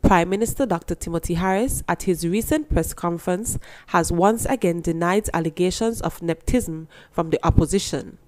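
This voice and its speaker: A woman newsreader delivers scripted news. Her voice sits slightly low, and her delivery is formal and measured. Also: a little mellow.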